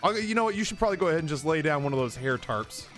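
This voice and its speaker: Animated man telling stories over music. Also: on the high side, rapid-fire. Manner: animated, profane, self-deprecating. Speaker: live streamer telling personal stories. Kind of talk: casual comedic storytelling on a livestream. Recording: music behind the voice. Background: background music.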